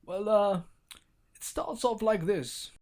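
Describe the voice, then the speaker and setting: A man's voice: high-pitched, takes it slowly, a little mellow. A man voicing an earnest circus character telling a story in a children's animation. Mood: earnest, explaining. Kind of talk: scripted animated story dialogue.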